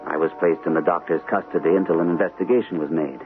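Captain male actor: low voice, earnest, rattled, old-time radio drama, tinny vintage sound. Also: steady tone, fast talker, faint music behind, close to an everyday voice. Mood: weary, relieved. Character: troubled army captain, a sanitarium patient, earnest and shaken. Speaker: radio actor, also narrating in character. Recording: old radio broadcast, narrow tinny band, hissy.

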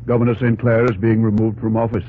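An actor playing a formal, high-minded statesman in an old radio drama, earnest and oratorical. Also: little pitch movement, quick pace, close to an everyday voice. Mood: stately. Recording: dull old radio recording, faint hum.

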